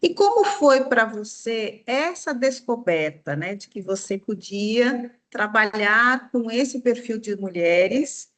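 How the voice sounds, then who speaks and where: Higher-pitched woman hosting a podcast. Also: lively intonation, takes it slowly, few pauses. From São Paulo, Brazil. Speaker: podcast host. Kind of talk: podcast interview.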